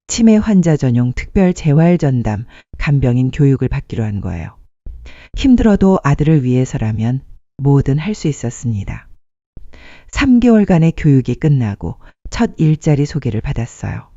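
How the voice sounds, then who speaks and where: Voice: medium pitch, big pitch swings, normal speed, dark tone, dry recording, highs cut off. A narrator telling a scripted dramatic life story in an earnest way, posing rhetorical questions.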